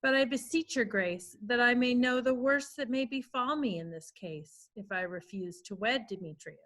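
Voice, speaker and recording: A woman's voice: a little high, moderate pace, fairly bright tone. A woman playing a bold, earnest young maiden in a formal Shakespearean table read. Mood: pleading. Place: remote video call reading. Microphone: video call.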